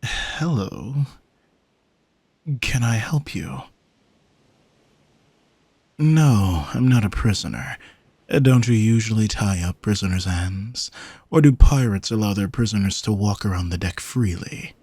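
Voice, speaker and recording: A man playing an alluring sea monster posing as a vain, flirty gentleman in an audio roleplay, speaking softly and formally in a haughty, teasing way. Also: fairly low pitch, animated, takes it slowly, long pauses, slightly rough, dry recording, exaggerated voice. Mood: guarded, indignant. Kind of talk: scripted audio roleplay, spoken to the listener.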